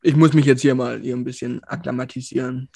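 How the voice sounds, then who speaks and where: A man's voice: medium pitch, fairly even intonation, brisk, creaky, darkish tone, no echo. A young man co-hosting a podcast, chatting casually with friends in colloquial slang. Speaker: podcast co-host. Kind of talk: casual chat among friends.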